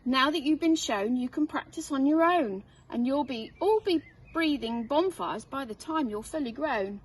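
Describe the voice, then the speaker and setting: A woman's voice: sits high, expressive, normal speed. A woman voicing a commanding female dragon schoolteacher in a children's storybook, grand and instructive. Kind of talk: children's storybook read-aloud. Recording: distant mic, faint noise.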